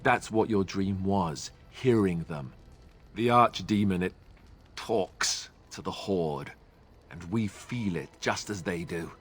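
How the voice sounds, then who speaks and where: An actor playing a wry, self-deprecating young warrior in a video game, talking in an easygoing, joking way. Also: male voice, sits low, takes it slowly, slightly rough, soft background music, heavily acted. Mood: reassuring. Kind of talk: video game cutscene dialogue.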